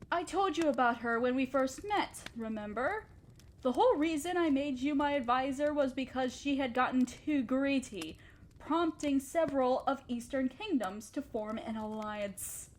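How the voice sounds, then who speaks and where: A woman acting a haughty, ruthless evil queen with an imperious, theatrical manner, speaking to a subordinate in a scripted fantasy audio roleplay. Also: high voice. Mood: grave, indignant. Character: haughty, ruthless evil sorceress queen fretting over her threatened empire.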